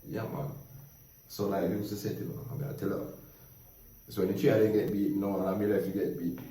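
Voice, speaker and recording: A Jamaican man tells stories in a lively, laughing way, his voice sitting low and deep. He is caught from some distance away, so the sound is very roomy and echoey, with a faint hiss underneath. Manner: lively, laughing, animated storytelling in patois. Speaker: guest storyteller. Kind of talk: casual storytelling chat.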